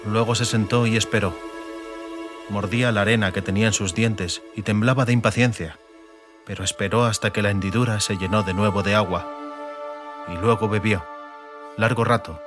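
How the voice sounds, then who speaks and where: A man with an accent from Spain, narrating a fantasy audiobook in a dramatic scripted style. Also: fairly low pitch. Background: music.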